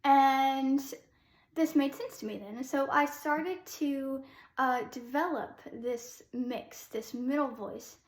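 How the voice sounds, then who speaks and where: A young adult woman, a classical soprano, giving a relaxed vocal lesson in a chatty way with many ums. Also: high voice, slow, echoey room.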